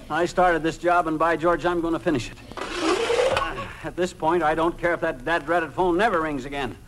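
Male actor as stubborn excitable husband, highish voice, American accent, folksy grumbling, old radio comedy, clearly noisy recording. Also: moderate pace, natural voice. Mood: weary, stubborn. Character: blustery, accident-prone, stubborn husband, comic and excitable. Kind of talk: 1940s-50s American radio comedy acting.

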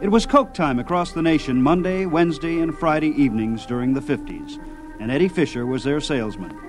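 A man's voice: a little high, lively intonation, brisk, over music. A man hosting a radio program, reading scripted narration that introduces clips from old shows.

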